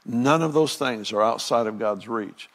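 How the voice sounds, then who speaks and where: A man's voice: medium pitch, measured pace, fairly bright tone. A man in his sixties, a pastor talking with a guest in an earnest, reflective way with frequent restarts. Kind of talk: conversational ministry interview.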